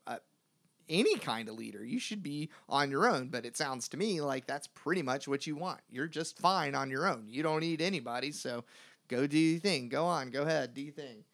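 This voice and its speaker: A man co-hosting a podcast in casual chat, emphatic and animated, his voice jumping up when he gets worked up. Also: medium pitch. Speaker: podcast co-host.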